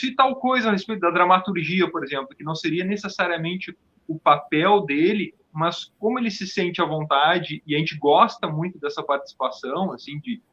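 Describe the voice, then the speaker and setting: A man's voice: sits high, fairly bright tone, highs cut off. A man with a southern Brazilian accent from Rio Grande do Sul, talking casually as an interview guest and often repeating words as his thoughts form. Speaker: theatre company founder, interview guest.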